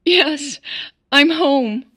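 A woman playing a frightened, shaken young woman in an audio drama, speaking haltingly. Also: high voice, pushed and bright, pitched above the performer's own voice, exaggerated voice. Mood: tearful, shaken. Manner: halting, shaky.

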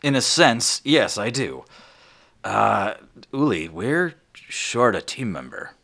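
A man's voice: fairly low pitch, sing-song, takes it slowly, vocal fry, sharp tone, exaggerated voice. A man voicing an earnest, folksy farmer who is a player's brother, talking eagerly with some stammering. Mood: friendly. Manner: eager, stammering, good-natured.

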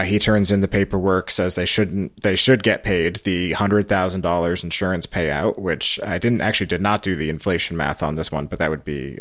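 A man hosting a podcast, giving casual recap commentary in short, halting phrases. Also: deep voice, fairly bright tone, no echo, studio quality. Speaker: podcast host.

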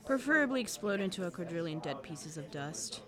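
A woman's voice: on the low side, sing-song, fairly quick, a crowd in the background. A woman acting as an anxious, guarded teenage student in a fiction podcast, awkward and dryly evasive. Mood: deadpan, preoccupied. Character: anxious teenage student girl who records cassettes, guarded. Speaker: voice actor.

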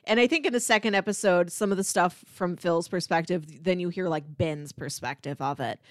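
A woman's voice: fairly low pitch, normal speed, no echo, studio quality. A woman co-hosting a podcast, chatting casually and tossing in joking asides. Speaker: podcast co-host.

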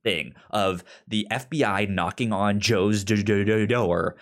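A man co-hosting a podcast in casual chat about a TV drama, halting and often repeating or stammering on words. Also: lowish voice. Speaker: podcast co-host.

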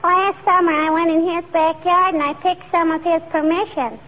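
A woman's voice: extremely high pitch, fairly even intonation, normal speed, phone-quality sound. A woman playing a cheeky, giggly little girl in a 1940s-style radio comedy, with childish, bantering delivery. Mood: cheeky, innocent. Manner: childish, bantering, repeats a pet phrase. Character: cheeky, giggly little girl who pesters a grown man. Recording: scratchy, band-limited old radio with audience.

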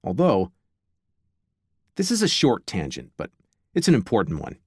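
A man reading an audiobook in a measured, theatrical style with playful asides. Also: on the low side, huge pitch swings, breathy edge, no echo. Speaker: audiobook narrator. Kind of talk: audiobook narration. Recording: dry studio audiobook recording.

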